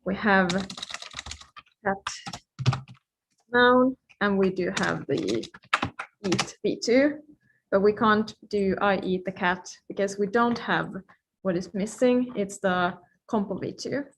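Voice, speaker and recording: A woman's voice: medium pitch, slight background noise. Finnish woman lecturing informally, thinking aloud. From Finland. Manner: informal, playful asides, often pausing mid-thought.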